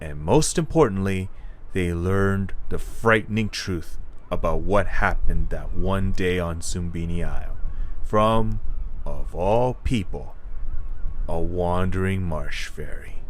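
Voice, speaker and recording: Low-pitched male story narrator. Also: takes it slowly, a little hiss or hum.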